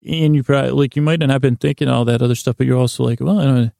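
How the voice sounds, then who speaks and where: Very creaky, mellow male podcast host. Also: medium pitch, steady tone, no room sound, clean studio sound. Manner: meandering, halting, repeats himself. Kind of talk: rambling, whimsical bedtime storytelling monologue. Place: small closet used for recording. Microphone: close mic in a small recording closet.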